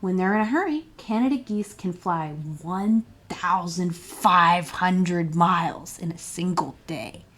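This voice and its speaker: A woman's voice: lowish voice, a little slow, few pauses, a bit bright. A woman reading a children's picture book aloud as a storytime librarian, expressively and with questions put to young listeners.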